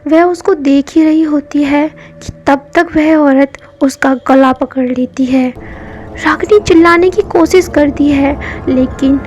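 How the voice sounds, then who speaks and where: A woman narrating a scripted horror story in a measured, even storytelling manner. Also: sits high, slight background noise. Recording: dull lo-fi with background music.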